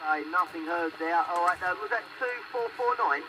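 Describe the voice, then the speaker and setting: A man with a high-pitched voice runs a casual radio net. He sounds far off over CB, with heavy static all around. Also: normal speed. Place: inside a van.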